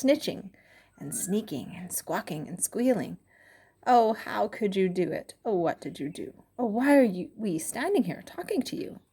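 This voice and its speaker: A woman's voice: medium pitch, mellow, husky, heavily acted. A woman voicing a group of smug friends who mock and scold in rhyme. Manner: mocking, sing-song.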